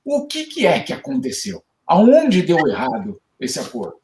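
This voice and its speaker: A man co-hosts over a video call, with a high voice for a man and a hollow, echoey room around him. He speaks haltingly, filling his gaps with drawn-out sounds while he lines up his questions. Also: wildly swooping pitch, darkish tone, slight background noise. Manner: hesitant, full of filler sounds, curious questioner. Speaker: co-host columnist. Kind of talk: casual panel discussion.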